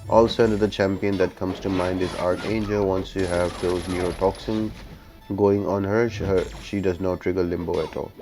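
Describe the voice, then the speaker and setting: A man with a low-pitched voice narrates a video game guide. He talks casually and explains each tactic step by step, in the plain manner of a walkthrough host. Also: fairly even intonation, normal speed, darkish tone, faint music behind.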